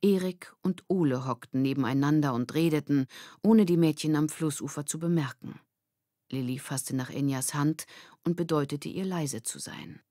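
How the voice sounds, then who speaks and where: A woman's voice: deep voice, moderate pace, warm tone, dry recording, studio quality. A woman reading a children's story as a scripted audiobook narration.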